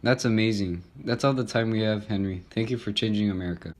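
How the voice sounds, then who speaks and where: A man's voice: fairly low pitch, fast talker, lightly acted. A man playing a courteous radio talk show host in a scripted 1920s skit, with polite delivery.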